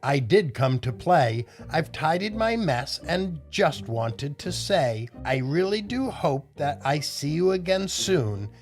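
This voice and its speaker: A friendly, playful storybook raccoon, read by a man. He speaks gently and unhurriedly, with a fairly high, lively voice. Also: slow pace, hardly any pauses, soft background music. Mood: friendly, kind. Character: friendly, playful male raccoon writing a kind note.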